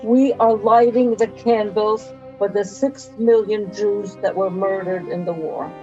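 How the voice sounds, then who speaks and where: An older woman from Poland, a Holocaust survivor, giving a plain, measured statement. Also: mid-range voice, steady tone, dull audio, over music. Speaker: Holocaust survivor lighting memorial candles.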